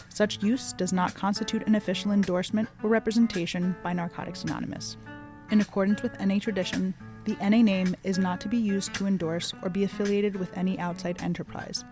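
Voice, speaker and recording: A woman reading a scripted podcast announcement as narrator. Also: mid-range voice. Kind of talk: scripted intro and disclaimer narration. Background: plucked guitar music.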